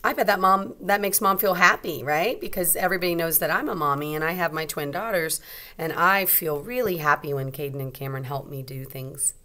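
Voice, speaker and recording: A woman teacher reading a storybook aloud to young children, expressively, pausing to explain and ask simple questions. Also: low-pitched, bright, forceful tone.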